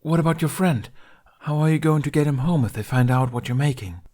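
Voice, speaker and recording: A man's voice: on the high side, fairly quick, darkish tone, pitched above the performer's own voice, close to an everyday voice. Man voicing a stubborn, loyal young thief. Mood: fiercely insistent.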